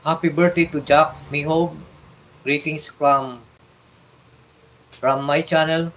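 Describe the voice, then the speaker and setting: A middle-aged man from the Philippines with a Filipino accent, chatting on a livestream and giving fatherly advice in a halting way, repeating and restarting his words. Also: a little high, steady tone, a bit bright, slight background noise, rough recording. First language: Tagalog. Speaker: vlogger. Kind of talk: casual livestream chat.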